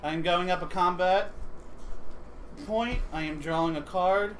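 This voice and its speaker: A man's voice: sits high, measured pace. A man chatting casually and slangily, with some swearing, as he plays a tabletop game on a livestream. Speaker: board game player. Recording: noisy livestream room audio.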